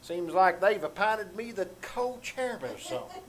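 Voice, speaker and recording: A man's voice: high-pitched, big pitch swings, normal speed, a bit bright. A man playing a meek, self-deprecating older country grocery man with a Southern US accent, hesitant and folksy, in old-time radio comedy. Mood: smug. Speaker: stage radio actor. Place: small-town theater stage before live audience. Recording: live theater stage with background noise.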